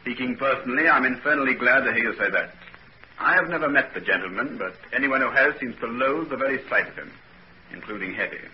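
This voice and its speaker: A man acting a loyal, worried, bluff gentleman in a 1940s radio drama. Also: mid-range voice, fast, natural voice. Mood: bluff, protective. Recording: dull, noisy old 1940s radio recording.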